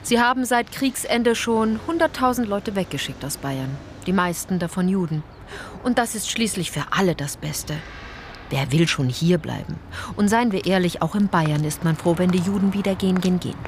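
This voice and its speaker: A woman's voice: on the low side, slight background noise, natural voice. An actress playing a sardonic female tour guide in a German radio play, addressing listeners directly with theatrical irony. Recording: music under the voice. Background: music.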